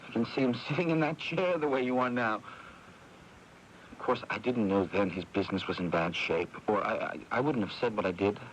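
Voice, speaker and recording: An actor playing a hot-tempered, self-pitying younger brother in a radio drama, needling and stammering over repeated phrases. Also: male voice, on the low side, fairly quick, natural voice, rough recording. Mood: restless, bitter amusement. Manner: needling, sneering, with stammered repetitions. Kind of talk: 1980s radio drama acting. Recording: hissy 1980s AM radio airing.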